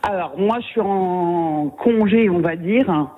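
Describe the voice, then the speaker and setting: A woman's voice: lowish voice, takes it slowly. Woman calling in over a tinny phone. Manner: easygoing, relaxed, repeats words. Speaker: caller. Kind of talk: casual phone chat on the radio. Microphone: phone line.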